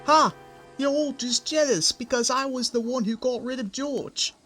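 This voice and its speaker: A man's voice: very high voice, normal speed, a bit bright, faint music behind. A man voicing a small, cocky, grumpy steam engine in an amateur cartoon comic dub, boastful and indignant. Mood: smug, defiant.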